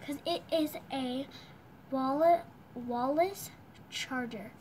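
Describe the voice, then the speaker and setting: Young girl vlogger, high-pitched and unhurried, casual and self-narrating, recorded on a device a few feet off in a quiet room. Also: a little hiss or hum. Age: child, around 10 to 12. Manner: chatty, unscripted, self-talking while tying a headscarf. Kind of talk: casual home tutorial vlog. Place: small bedroom indoors. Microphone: phone or tablet camera set across the room.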